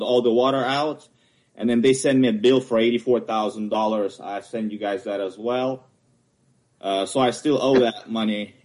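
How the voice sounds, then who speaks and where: A man from Ukraine with a Ukrainian accent, English not his first language, haltingly explaining his property appeal at a hearing. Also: mid-range voice, a little mellow. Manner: halting, searching for words. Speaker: homeowner appealing a property assessment.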